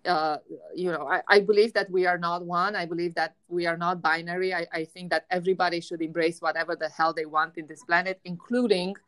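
A woman podcast host with a Russian-sounding accent, talking in an energetic, emphatic way and often repeating words as she starts a thought. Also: fairly low pitch, brisk, dry recording. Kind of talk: casual conversation.

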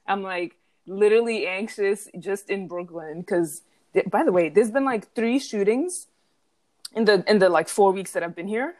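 A woman's voice: mid-range voice, normal speed, a little mellow. A young woman from Zimbabwe hosting a podcast in casual chat, chatty and laughing often as she acts out what people said. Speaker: podcast host.

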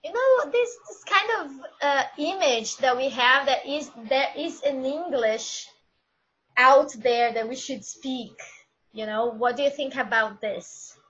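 A woman's voice: high-pitched, slow pace, fairly bright tone, husky, muffled recording. A woman with a Brazilian Portuguese accent, speaking English in a casual discussion and thinking aloud as she searches for words.

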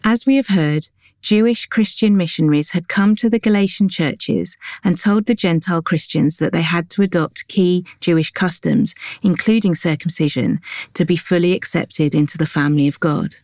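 Calm woman reading a devotional. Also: fairly low pitch, no room sound, studio quality. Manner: calm, measured, reflective. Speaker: devotional reader. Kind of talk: scripted devotional reading with guided prayer.

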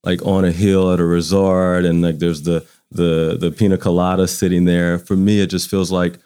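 A man's voice: deep voice, level tone, moderate pace, warm tone, clean tone. A man in his forties from Louisiana with an American accent, chatting casually and reflectively as he tells anecdotes in an interview. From Baton Rouge, Louisiana, United States. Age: 40s. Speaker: interview guest. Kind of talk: casual conversational storytelling.

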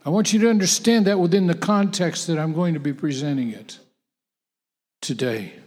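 A man preaching a sermon to a gathered audience, emphatic and repetitive, with halting restarts. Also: on the high side, darkish tone. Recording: long hall reverb, voice off-mic.

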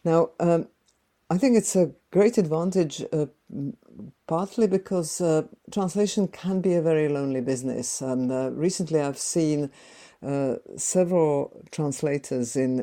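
Interview guest: woman, 60s, native Slovak speaker, mellow timbre, unhurried and reflective. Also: low-pitched. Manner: thoughtful, with frequent pauses and filler "uh"s. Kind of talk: conversational interview answers.

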